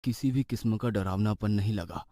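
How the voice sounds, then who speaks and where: A man's voice: on the low side, normal speed, mellow. A man giving dramatic scripted narration as the storyteller of a horror cartoon.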